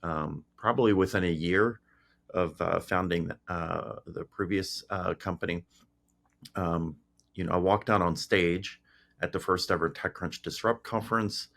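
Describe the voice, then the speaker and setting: Deep gravelly man telling stories. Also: unhurried. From Greece. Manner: relaxed, frequent fillers and restarts. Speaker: guest, tech founder. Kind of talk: casual interview storytelling.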